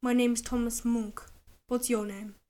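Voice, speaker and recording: A woman voicing a calm, smiling male inquisitor who questions gently but with quiet menace. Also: a little high, a little slow, close to an everyday voice. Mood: gentle, probing.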